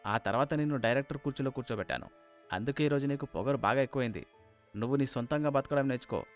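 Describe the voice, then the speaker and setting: A man voicing an angry, domineering father in an animated moral story, stern and scolding. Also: mid-range voice, quick pace, faint music behind, lightly acted.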